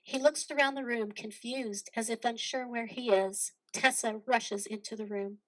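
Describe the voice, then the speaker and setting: A woman's voice: on the high side, steady tone, normal speed, low-quality recording. A woman narrating a play, reading out stage directions as scripted dramatic narration.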